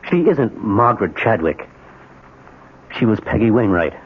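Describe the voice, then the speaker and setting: An actor playing a troubled, insistent middle-aged husband in a radio drama, earnest, pleading and halting. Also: male voice, on the low side, lively intonation, breathy edge. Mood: haunted, intense. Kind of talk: 1970s radio drama acting. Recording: muffled, noisy 1970s radio recording.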